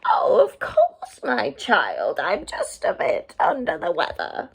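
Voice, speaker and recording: Lady doing a wicked wolf pretending to be poorly grandma, swoopy high voice, drawn-out oohs, storybook style. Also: huge pitch swings, vocal fry, slightly rough, full character voice, poor audio. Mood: falsely reassuring.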